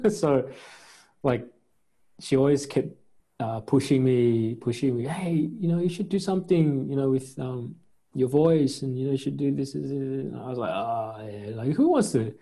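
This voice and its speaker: A young man from Australia talks in a relaxed, halting way, pausing often with fillers and circling back over his words. His voice sits at an everyday pitch with a dark, mellow tone. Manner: relaxed, hesitant, many fillers and restarts, mixes English with Indonesian. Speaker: pastor and singer. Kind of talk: casual interview chat.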